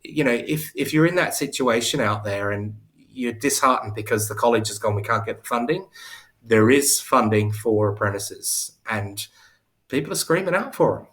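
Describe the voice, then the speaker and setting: A man's voice: medium pitch, lively intonation, normal speed, a bit bright. A man chatting on a video call from home, talkative and self-deprecating, often restarting his sentences. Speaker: hair coach and salon owner. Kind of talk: casual live interview chat.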